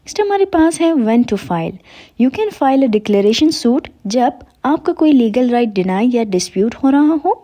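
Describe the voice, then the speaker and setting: A woman's voice: a little high, big pitch swings, a little slow, warm tone. A woman with a Pakistani accent giving a methodical, formal, step-by-step explainer as an advocate, switching between everyday speech and English legal terms.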